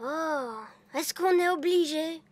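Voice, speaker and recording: A woman's voice: extremely high pitch, slow. A woman voicing a playful, cheeky little elf boy in a cartoon. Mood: reluctant. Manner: boyish, playful. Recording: music behind the voice.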